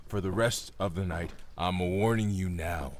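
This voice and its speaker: A man's voice: low-pitched, measured pace, a little hiss or hum. A man playing a bitter, long-imprisoned man in an audio drama, with a sardonic, mocking and insolent delivery. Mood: weary, grim.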